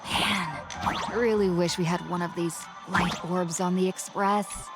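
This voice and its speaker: A woman's voice: fairly low pitch, fairly even intonation, a little slow, lightly acted. A woman voicing a plucky young female adventurer in a video game, direct and informal. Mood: casual. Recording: music behind the voice.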